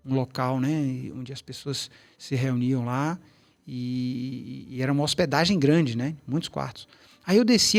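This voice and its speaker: A middle-aged man, a tai chi teacher, telling long rambling stories in a casual interview, with many restarts and asides. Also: a little high. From Brazil, Bahia.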